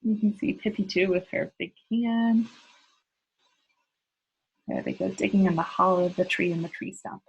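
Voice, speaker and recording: A woman's voice: mid-range voice, moderate pace, gravelly, long pauses, husky, muffled recording. A woman reading a children's book aloud as a storyteller, talking to young listeners as she goes. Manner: engaging listeners with simple questions.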